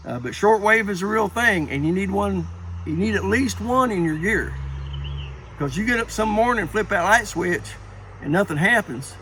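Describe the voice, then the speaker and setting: A man talks to viewers in a folksy, plain-spoken way. His voice sits a little high for a man. He rambles informally as he explains things, with faint ambient noise behind him in an amateur recording. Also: lively intonation, normal speed, bright, forceful tone. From Appalachia, United States. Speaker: preparedness vlogger. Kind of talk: informal instructional talk to viewers.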